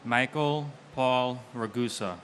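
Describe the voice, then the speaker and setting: Man with a bright, forceful voice, reading names unhurriedly and solemnly at a memorial. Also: mid-range voice, takes it slowly, slight background noise. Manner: steady and solemn. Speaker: name reader. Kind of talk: memorial ceremony name reading.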